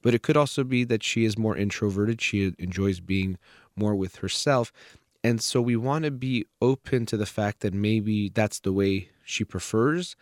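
A man acting as a counselor, calmly advising a parent on their child, talking in a measured way with many fillers. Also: fairly low pitch, no room sound, clean studio sound. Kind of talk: consultation with a parent.

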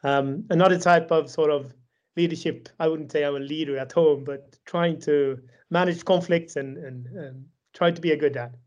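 A man's voice: on the high side, steady tone, normal speed, darkish tone, highs cut off. A man in his forties with a Swedish accent, a podcast guest speaking thoughtfully with many restarts over a call. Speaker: podcast guest, tech executive. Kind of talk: casual remote conversation. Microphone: video call.

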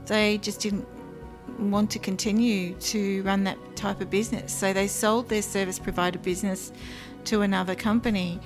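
Earnest woman recalling her work. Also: medium pitch. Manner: earnest, measured, with frequent ums. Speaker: former psychic line worker, guest. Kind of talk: interview, personal recollection.

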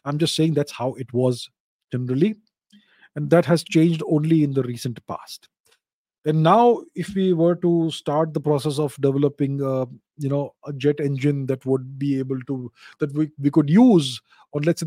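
A man's voice: on the high side, normal speed, warm tone, no echo. A man with an Indian accent hosting a question-and-answer show, giving unscripted explanations and repeating key phrases for emphasis.

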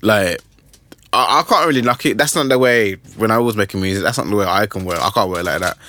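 A young man hosting a podcast, chatting casually about music in a rambling, animated way with lots of restarts. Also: on the low side, fast talker, no room sound. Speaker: podcast host.

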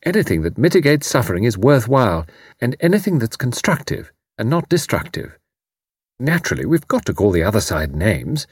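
A man's voice: mid-range voice, sing-song, a little fast, breathy edge, dry recording, clean studio sound, pitched above the performer's own voice. A man voicing a sensible, kindly British woman, robust and earnest in her reassurance. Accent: British English. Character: brisk, kindly, sensible Englishwoman in wartime.

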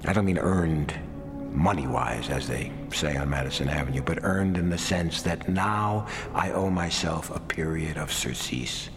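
Deep-voiced, weary, sardonic writer. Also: male voice, a little slow, soft background music. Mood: despairing. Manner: wry, eloquent, theatrical. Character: weary, sardonic, literate middle-aged blacklisted writer father. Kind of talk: radio drama, letters read as monologue.

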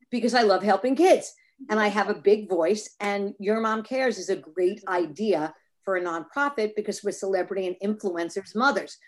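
Frank, earnest woman making an appeal. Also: mid-range voice. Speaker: nonprofit co-founder and mental health advocate. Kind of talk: casual podcast interview.

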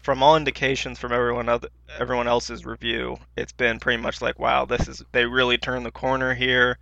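A man's voice: mid-range voice, flat delivery, fairly quick, creaky, pushed and bright, no echo, dull audio. A man co-hosting a podcast in casual, informal chat with slangy wording. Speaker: podcast co-host.

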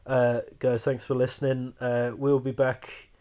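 A man hosting a casual sports podcast, hoarse from a cold and stammering a little as he restarts sentences. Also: medium pitch, flat delivery, a little slow, warm tone. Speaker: podcast host.

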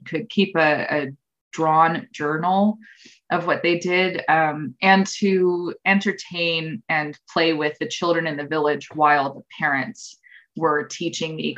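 A woman in her thirties talks as an interview guest, with a low voice. She rambles through her answers with frequent ums and false starts. The audio is dull and lo-fi. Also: fairly bright tone. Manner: rambling, with many ums and restarts. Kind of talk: casual interview conversation.

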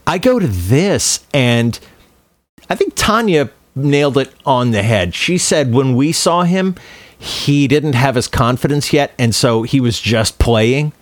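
A man's voice: medium pitch, lively intonation, measured pace, no echo. A man talks casually as a podcast co-host, his mid-range voice rising and falling widely. He is opinionated and profane, often repeating words as he finds his point. The sound is dry, with little room in it. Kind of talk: casual chat about films and music.

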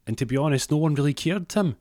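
A man's voice: a little high, expressive, fast, darkish tone, no echo, studio quality, voice pushed up, natural voice. A man voicing a tiny, polite, matter-of-fact male Christmas elf with a little voice in a told story. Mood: blunt. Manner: polite, calling his listener sir.

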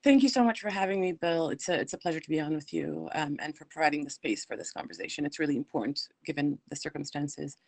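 A woman from Palestine, a guest on a radio talk show, speaking in a measured way with frequent fillers. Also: low voice, lively intonation, gravelly, darkish tone, no room sound. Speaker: guest, advocacy director. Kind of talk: radio interview.

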